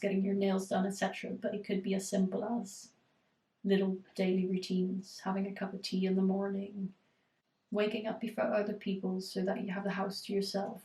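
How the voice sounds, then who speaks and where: A woman with a cold, her voice going hoarse, coaching a live Q&A and giving reflective, steady advice with frequent fillers. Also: medium pitch, echoey room, recorded from a distance, low-quality recording. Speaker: eating disorder recovery coach.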